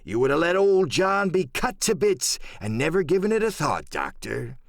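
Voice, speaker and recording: A man voicing a wily, ingratiating old pirate with rough sailor talk in an adventure audiobook. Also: a little high, big pitch swings, normal speed, no echo, clean studio sound, voice pushed up. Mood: wheedling.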